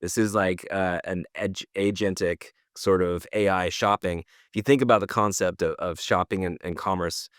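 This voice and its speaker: Male podcast co-host, low voice, close dry sound, keen and explanatory, conversational delivery. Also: fairly even intonation, normal speed, few pauses, a bit bright, no room sound. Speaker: podcast co-host presenting news. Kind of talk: casual news discussion.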